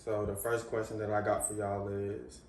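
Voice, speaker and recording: Low, level young man host, probing. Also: completely flat delivery, moderate pace, darkish tone. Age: young adult. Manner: probing, encouraging follow-up questions. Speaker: host asking questions. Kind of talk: casual interview. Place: living room, on a couch. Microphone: far camera. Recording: roomy sound from far off, faint hiss.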